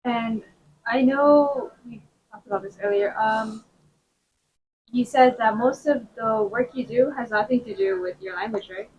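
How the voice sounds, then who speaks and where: A woman interviewer asking questions in a casual, slightly hesitant, halting way. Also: a little high, a little mellow. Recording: dull lo-fi sound with faint noise.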